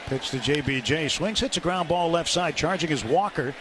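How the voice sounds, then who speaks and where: Higher-pitched man calling baseball on radio. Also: moderate pace, a crowd in the background. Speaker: play-by-play baseball announcer. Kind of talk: live radio sports commentary. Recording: clear stadium noise behind. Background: outdoor ballpark ambience.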